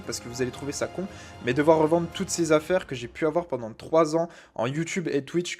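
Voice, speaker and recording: Fast-talking young French man. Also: on the high side, darkish tone. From France. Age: 20s. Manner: casual, frequent "euh" and "bah" fillers. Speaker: YouTuber. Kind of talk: personal storytelling vlog.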